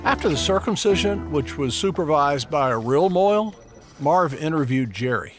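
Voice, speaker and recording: A man playing a dry, deadpan Hollywood historian commenting in a documentary interview. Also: a little high, normal speed, music in the background, lightly acted. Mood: dry recollection.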